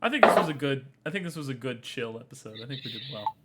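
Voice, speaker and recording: A man's voice: mid-range voice, animated, quick pace, very noisy. A man in his twenties co-hosting a podcast, chatting casually, joking crudely and laughing often. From Florida. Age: 20s. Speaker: podcast co-host.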